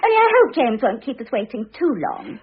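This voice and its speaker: A woman's voice: high-pitched, very animated, a little fast, husky, exaggerated voice. An actress playing a young, vain, grasping society woman in a classic radio drama, theatrical and haughty. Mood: smug, breezy. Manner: theatrical, self-satisfied, haughty. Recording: muffled phone-like old radio recording.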